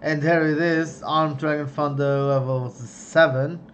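Young man slowly narrating card pack openings. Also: slight background noise. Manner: chatty, excited on big pulls, low and let down on misses. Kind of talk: casual running commentary while opening trading card packs. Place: home room, indoors.

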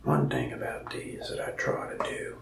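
A young man talking softly and partly whispering as a folksy mask shop salesman in an ASMR roleplay, rambling in an easy way. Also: rumbling low, fairly even intonation, moderate pace, gravelly, reverberant, recorded from a distance. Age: young adult. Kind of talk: soft-spoken ASMR roleplay. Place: indoor room before a wall of masks.

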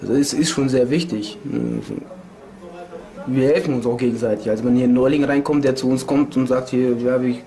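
A young man talks casually in an interview, rambling and tacking on little tag questions. His voice is mellow and warm, and he speaks from a dim cell. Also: mid-range voice, fairly even intonation, a little fast. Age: young adult. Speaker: inmate interviewee. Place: dark prison cell.

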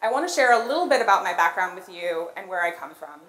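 A woman's voice: fairly low pitch, expressive, fast, a bit bright. An American woman giving a rehearsed but conversational conference talk, telling stories and putting questions to the audience. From born in Colombia, grew up in Los Angeles. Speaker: conference keynote speaker, product designer. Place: on stage in a large auditorium. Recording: roomy echo of an auditorium.

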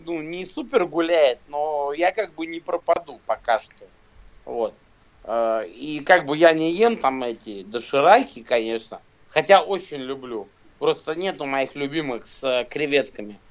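A man in his thirties chatting casually on a video call from a small room, rambling and swearing with lots of fillers. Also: fairly high pitch. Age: 30s. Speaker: rapper and guest. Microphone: phone camera close to face. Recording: dull lo-fi video call audio.

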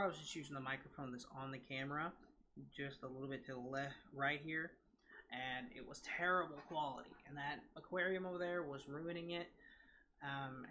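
A young man with a bright, slightly nasal voice talks straight to his webcam audience, excited and rambling, tripping over restarts and ums. He sits close to a desk mic on an arm in a big room at home, with a faint hum of ambience behind him. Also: medium pitch. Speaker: gaming vlogger. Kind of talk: casual vlog to camera. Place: large indoor room at home. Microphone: desk mic on a scissor arm, close.

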